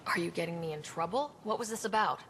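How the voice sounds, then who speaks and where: Confident explorer, gravelly creak. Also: female voice, fairly low pitch, fast talker, fairly bright tone, a little hiss or hum. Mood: wry, direct. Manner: wry, direct. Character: confident young woman explorer and team leader. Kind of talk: video game voice acting.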